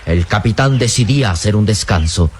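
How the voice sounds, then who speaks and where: A man's voice: on the low side, animated, brisk, a little hiss or hum. A man narrating an old radio serial from a script, in a solemn, theatrical, declamatory manner. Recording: radio serial with prominent background music.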